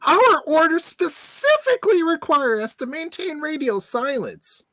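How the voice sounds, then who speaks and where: A woman's voice: high-pitched, animated, unhurried, pushed and bright, no room sound, heavily acted. A woman playing an urgent, hard-line starship officer in an amateur sci-fi radio play. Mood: urgent, insistent.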